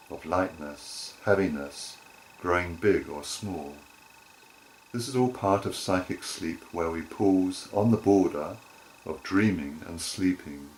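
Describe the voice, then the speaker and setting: A man teaching guided relaxation in measured, deliberate phrases with short pauses. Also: low voice, a little hiss or hum, rough recording. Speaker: yoga nidra teacher.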